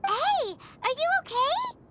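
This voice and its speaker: A woman voicing a tiny, bubbly, childlike fairy companion in a video game, with a squeaky voice. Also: very animated, takes it slowly, slight background noise, exaggerated voice. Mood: concerned. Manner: bubbly, curious. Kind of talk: scripted video game dialogue. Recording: loud background music.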